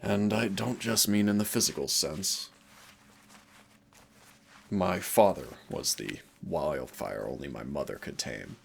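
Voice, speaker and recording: Deep, gravelly male demon played by a man. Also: expressive, slow, a little hiss or hum, exaggerated voice. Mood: fond, nostalgic. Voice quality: very low, gravelly creaky voice. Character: teasing, rebellious centuries-old male demon hunter, wistful yet protective. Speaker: audio roleplay voice actor. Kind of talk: intimate second-person audio roleplay acting.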